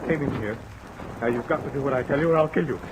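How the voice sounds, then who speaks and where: A man's voice: mid-range voice, quick pace, close to an everyday voice. A man playing a frightened, desperate gunman in an old-time radio drama, giving tense, insistent orders that edge into pleading. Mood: desperate threatening. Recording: noisy vintage radio recording with rain. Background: rain and thunder.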